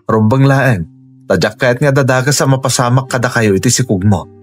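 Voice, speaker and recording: A man acting as a devoted Ilocano family man in a radio drama. His voice sits at a middle pitch, earnest and tender, with an Ilocano accent and faint music underneath. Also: a little fast, close to an everyday voice. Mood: caring concern. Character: gentle, caring father and husband of a pregnant wife.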